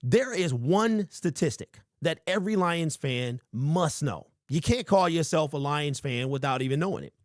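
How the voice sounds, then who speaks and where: A man in his forties hosting a casual sports talk show, reading viewer comments and rambling with lively emphasis. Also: fairly high pitch, moderate pace, few pauses, no echo, clean studio sound. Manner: animated, rambling, calls listeners bro. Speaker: sports podcast host.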